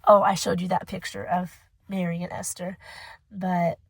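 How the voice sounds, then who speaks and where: A young woman with a hoarse voice from a cold, rambling casually as she reviews a book for her channel. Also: on the low side, little pitch movement, normal speed, no room sound. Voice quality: husky. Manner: chatty, rambling, emotional.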